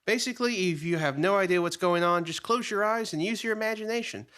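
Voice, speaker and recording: A man's voice: high-pitched, fast talker, fairly bright tone, clean tone. A man playing a vampire's wisecracking son, a sarcastic co-host who interjects sardonically in comedy radio theater. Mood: sardonic. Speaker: co-host sidekick.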